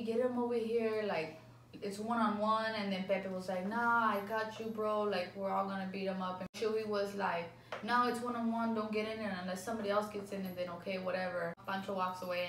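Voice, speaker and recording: A woman's voice: mid-range voice, fairly bright tone. A woman chatting casually in a storytime, often saying "like.". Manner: chatty, fills speech with "like". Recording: echoey room, faint background hum.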